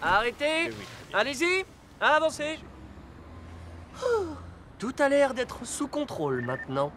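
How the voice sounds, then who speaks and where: A woman's voice: high-pitched, sing-song, slow, a bit bright, slight background noise, exaggerated voice. A woman voicing an alert, caring police car rescue robot in a children's cartoon, earnest and commanding. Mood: alert, directing.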